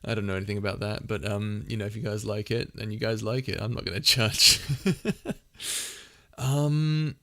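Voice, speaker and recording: A man hosting a casual livestream Q&A. His voice is soft and soothing, and he rambles through his answers with lots of fillers and restarts. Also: fairly low pitch, fairly even intonation, normal speed. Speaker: ASMR channel host answering viewer questions.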